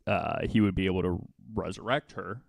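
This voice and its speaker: A man hosting a podcast, recounting a true-crime story to co-hosts in a halting, measured way. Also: deep voice, creaky, no room sound. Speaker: podcast host.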